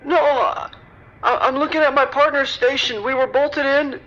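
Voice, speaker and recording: A woman playing a shaken construction worker on an emergency call in an audio drama, stammering and halting in bewilderment. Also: high-pitched, fairly even intonation, a bit bright, highs cut off. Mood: shaken, bewildered. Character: shaken high-rise construction worker calling emergency services.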